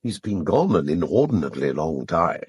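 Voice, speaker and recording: An actor with a British accent playing a languid, waspish, urbane theatre critic in a radio drama, with an arch, dry drawl. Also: male voice, low-pitched, sing-song, normal speed, a little mellow, slightly rough, exaggerated voice. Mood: arch, curious.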